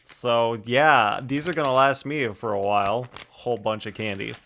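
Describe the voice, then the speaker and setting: A man's voice: medium pitch, steady tone, normal speed, a bit bright, slight background noise. A young man chats casually to livestream viewers, telling anecdotes in a rambling way and often searching for words.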